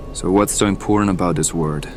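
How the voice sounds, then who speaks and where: An actor playing a bitter, world-weary mutant mercenary in an audio drama, grumbling with coarse sardonic resentment. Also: male voice, low-pitched, noisy background. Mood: grim, reluctant. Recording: music under the voice.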